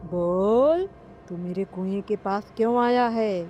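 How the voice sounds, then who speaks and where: A woman's voice: medium pitch, slow pace, dull audio, over music, lightly acted. A woman voicing an eerie, demanding female ghost of an old well in a horror cartoon. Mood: menacing. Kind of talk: Hindi horror cartoon voice acting.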